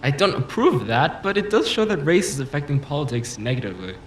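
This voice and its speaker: A combative male politician acted by a man rattles through debate points in a slightly high voice. He is self-assured, polished and insistent, pressing hard on his rival, and the room has a clear echo. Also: big pitch swings, quick pace. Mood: defensive. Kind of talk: audio drama acting, debate rhetoric. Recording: roomy echo, faint background noise.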